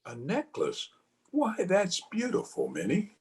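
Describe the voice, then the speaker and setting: A man's voice: sits high, huge pitch swings, unhurried, a little mellow, breathy edge, full character voice. A man playing a tender, adoring young bridegroom in an old-time radio drama. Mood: warmly attentive.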